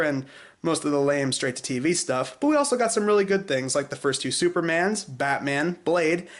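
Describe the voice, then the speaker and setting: A man's voice: fairly high pitch, a bit bright, a little hiss or hum. A man hosting a show with opinionated scripted commentary, informal and sarcastic in delivery. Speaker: video show host. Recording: echoey room.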